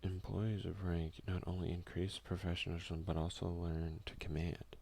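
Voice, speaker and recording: A man doing a soft-spoken, unhurried ASMR game playthrough, reading text aloud with casual asides. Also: low voice, flat delivery, vocal fry. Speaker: let's play streamer.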